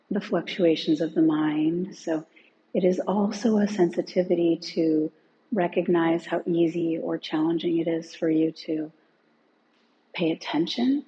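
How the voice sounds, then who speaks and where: Low, creaky-voiced woman guiding relaxation. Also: muffled recording, a little hiss or hum. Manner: gentle, unhurried with long pauses. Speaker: meditation and yoga teacher. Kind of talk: guided relaxation instruction.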